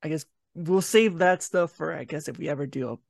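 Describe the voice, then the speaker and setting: A man's voice: fairly high pitch, fairly quick, gravelly, no room sound, studio quality. A man co-hosting a podcast, giving opinions in a casual, hesitant, conversational way. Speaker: podcast co-host. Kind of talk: casual review chat. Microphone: online video call recording.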